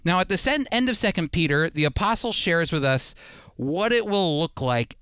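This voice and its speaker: Animated, smooth-voiced male Bible teacher. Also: on the high side, dry recording, studio quality. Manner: emphatic, with rhetorical questions and slowed scripture readings. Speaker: Bible teacher and program host. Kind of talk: prepared sermon-style Bible teaching.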